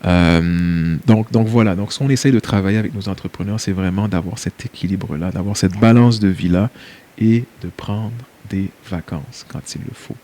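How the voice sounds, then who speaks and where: Québécois guy chatting in an interview, lowish voice, lots of euh and restarts. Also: normal speed, mellow, a little hiss or hum. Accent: Québécois French. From Quebec, Canada. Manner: hesitant, many fillers and restarts, laughs easily. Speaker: guest, nonprofit CEO. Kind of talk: casual interview chat.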